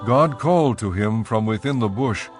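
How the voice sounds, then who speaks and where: Low-voiced man narrating scripture over music. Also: expressive, a little mellow. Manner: measured storytelling.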